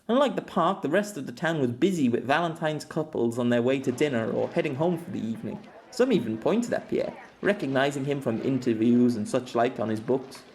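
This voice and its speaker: Man, audiobook narrator, mid pitch, steady storytelling read. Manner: reads evenly, voices every character himself.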